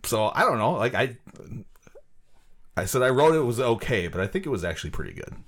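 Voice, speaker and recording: A man's voice: mid-range voice, big pitch swings, a little fast. A man co-hosting a podcast, chatting casually about films in a loose, rambling way full of "like". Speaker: podcast co-host. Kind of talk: casual film discussion.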